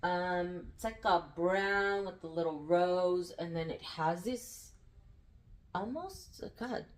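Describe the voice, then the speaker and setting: A woman's voice: on the low side, expressive, takes it slowly. A woman vlogger chatting casually about her nail polishes, with a nasal, congested voice, rambling upbeat with frequent ums and little laughs. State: full after eating. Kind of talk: casual chatty product talk.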